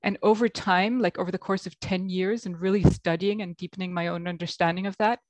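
A woman's voice: lowish voice, steady tone, a little fast, a little mellow, no echo. A woman from Belgium with an American accent, a guest coach on a video call, talking reflectively with many ums. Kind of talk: interview over video call. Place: home room.

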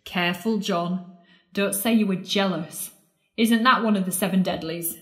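A woman's voice: mid-range voice, steady tone, moderate pace, a bit bright, close to an everyday voice. An actress playing a bitter, scornful woman confronting her lost love in an audio drama, sardonic and accusing. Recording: roomy, echoing space.

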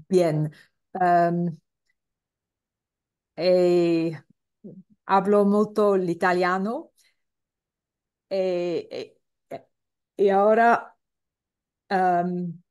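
Woman on a video call, very slow, hesitant, hunting for words. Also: medium pitch, darkish tone, smooth.

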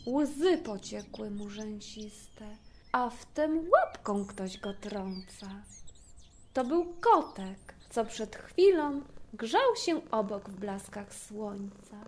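A woman narrating a fairy tale in verse, with an expressive, measured storytelling delivery. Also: medium pitch, a little hiss or hum.

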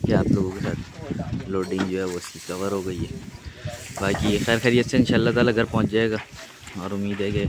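A man with a Pakistani Urdu accent talks straight to his viewers in an easy, rambling vlog manner, full of fillers. His voice sits low and stays flat. He is recorded on a phone outdoors at a cattle farm, with heavy background noise of animals and vehicles. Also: a little fast, pauses often. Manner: chatty, addresses viewers directly, many fillers. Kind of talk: casual vlog narration.

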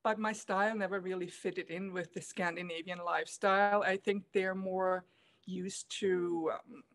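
A middle-aged woman from Germany answers questions over a webcam from her home, soft-spoken and a little hesitant, with plenty of ums and small laughs. Her voice is fairly low for a woman. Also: steady tone, moderate pace. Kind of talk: casual video call interview. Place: room at home, indoors. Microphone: laptop webcam video call.

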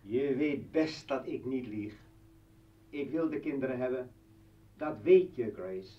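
An actor playing a bitter, drunken revolutionary leader and ex-husband in a radio play, taunting and theatrical. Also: male voice, medium pitch, expressive, unhurried, distant mic, close to an everyday voice. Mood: angry, defiant. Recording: dull, roomy old radio recording.

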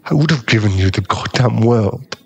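A man acting a husky, bitter young school bully. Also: on the low side, animated, normal speed, heavily acted. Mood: bitter, angry. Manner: aggrieved, swearing, scornful, dropping into breathy muttering. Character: bitter, heartbroken young male school bully, gruffly protective. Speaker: ASMR roleplay voice actor. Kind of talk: ASMR boyfriend audio roleplay.

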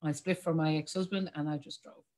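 A woman's voice: sits low, fairly even intonation, a little fast, a little mellow. A woman in her forties with an Irish accent, telling her story as a guest on a video call, chatty and laughing often. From Ireland. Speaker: guest, communication specialist. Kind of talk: casual video-call interview. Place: home room.

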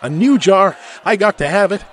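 Man doing a high-pitched excitable boy adventurer. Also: moderate pace, slight background noise. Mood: excited. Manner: exclaiming, complaining.

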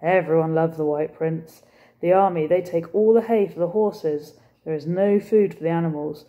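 A woman's voice: deep voice, moderate pace, narrow, tinny audio, lightly acted. A woman voicing a weary, sorrowful French cafe owner who speaks broken English with a French accent. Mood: mournful. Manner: broken English, mournful, emphatic. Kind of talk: storybook character voice.

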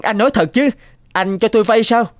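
A man's voice: high-pitched, a little fast, no echo, clean studio sound, close to an everyday voice. A man voicing a kind, earnest young knight in a cartoon. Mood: surprised, eager.

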